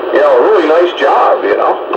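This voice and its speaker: A man's voice: a little high, animated, a little fast. A man chatting casually about technical things over two-way radio in a loose conversational way. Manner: conversational, repeats "you know". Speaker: radio operator. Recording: muffled, echoey, noisy radio transmission.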